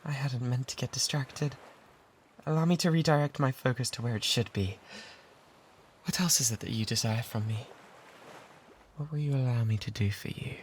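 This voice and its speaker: A man acts out a lovesick British pirate, utterly devoted and self-abasing, in an intimate ASMR roleplay. His mid-pitched voice pleads with breathy fervour close to the mic, over noticeable background noise. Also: normal speed, pauses often, close to an everyday voice. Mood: shy, devoted. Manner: fervent, breathy pleading that often drops toward whispers. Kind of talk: romantic ASMR roleplay acting. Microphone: close binaural microphone.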